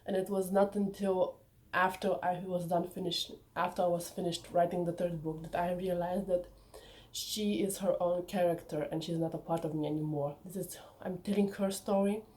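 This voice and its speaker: Young woman author, warm and mellow. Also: lowish voice, fairly even intonation, normal speed. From Bulgaria. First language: Bulgarian. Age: young adult. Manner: enthusiastic, chatty, sometimes personal and reflective. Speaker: author presenting her book. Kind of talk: casual vlog talk to viewers.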